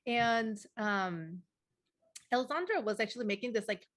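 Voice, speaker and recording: A woman leading an informal group listening session, conversational and halting as she searches for words. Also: on the high side, lively intonation, fairly bright tone. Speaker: facilitator of a deep listening session.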